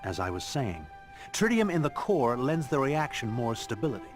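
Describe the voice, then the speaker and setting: A man voices a learned, genial scientist of middle age in a video game. He lectures proudly with a very animated pitch, faint music underneath. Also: normal speed, lightly acted. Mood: proud, enthusiastic. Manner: lecturing, expressive.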